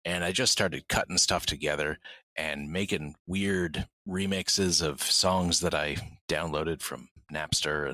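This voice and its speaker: A man with a very low voice talks casually as a guest in an interview. He speaks conversationally about himself. The sound is dry and close. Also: slow pace, pushed and bright, dry recording. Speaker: podcast guest, audio producer and musician.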